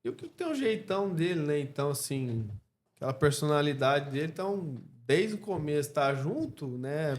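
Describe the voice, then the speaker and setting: A Brazilian man speaking as a podcast guest in a casual interview, reflective and halting, often checking in with short tag questions. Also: fairly high pitch, big pitch swings, slight background noise. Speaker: podcast guest, clothing store co-founder.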